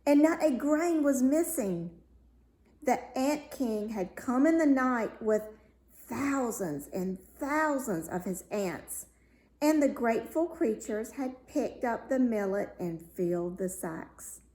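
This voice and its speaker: A woman reading a fairy tale aloud as narrator, in a measured, expressive storytelling manner. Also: fairly high pitch. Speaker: story reader. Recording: roomy, echoing sound.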